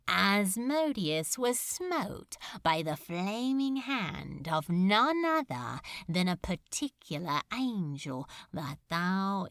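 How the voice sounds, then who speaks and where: A woman's voice: on the low side, fairly bright tone, dry recording, full character voice. A woman voicing a cruel demon lord in an audiobook, mock-polite and languid, with drawling, archaic menace. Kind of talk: audiobook character acting.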